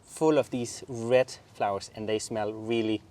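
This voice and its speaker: A man's voice: fairly low pitch, steady tone, unhurried, a little mellow. A man from southern Denmark with a Danish accent, hosting a casual garden tour outdoors and exclaiming eagerly over the plants. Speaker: garden show host.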